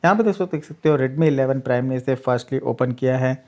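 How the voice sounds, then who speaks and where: A man's voice: mid-range voice, brisk, a little mellow. A man, the host of a tech channel, steadily narrating a side-by-side phone speed test and addressing viewers as friends.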